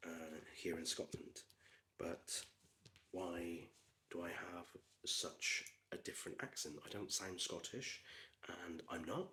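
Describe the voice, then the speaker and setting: A man in his forties with a Gloucestershire accent, slightly hoarse, chatting quietly and slowly for ASMR with lots of ums and drifts into whispers. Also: sits low. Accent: Gloucestershire English. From Gloucestershire, England. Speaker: ASMR creator. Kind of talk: soft-spoken ASMR chat while building.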